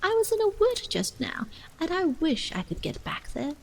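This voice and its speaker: A woman's voice: extremely high pitch, sing-song, normal speed, gravelly, darkish tone, voice pushed up, heavily acted, rough recording. A woman voicing Alice, a polite but impatient young girl in a storybook reading.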